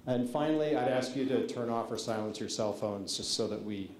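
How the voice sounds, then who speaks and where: Man with a mellow voice in an echoey hall. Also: mid-range voice, fairly quick. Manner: informal, many fillers, polite requests. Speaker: meeting facilitator. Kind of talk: public meeting guidelines. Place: large public meeting hall. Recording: echoey meeting hall, faint noise.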